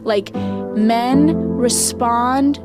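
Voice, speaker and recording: A woman's voice: on the high side, fairly even intonation, very slow, over loud music. A woman giving her opinions in a casual, emphatic, conversational way.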